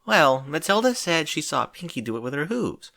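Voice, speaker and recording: A man's voice: a little high, sing-song, moderate pace, gravelly, sharp tone, pitched above the performer's own voice, exaggerated voice. A man voicing a bookish, anxious female unicorn who speaks earnestly and fretfully in a storybook reading. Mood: worried, embarrassed.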